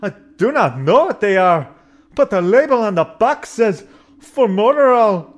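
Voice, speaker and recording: Gruff starship engineer , as a man puts him on, in a comedy audio play, rolling his words in a thick folksy brogue. His voice sits very high for a man, with a faint music bed underneath. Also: big pitch swings, unhurried, lo-fi sound. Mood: indignant. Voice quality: burring folksy brogue, says aye and wee. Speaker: ship's engineer.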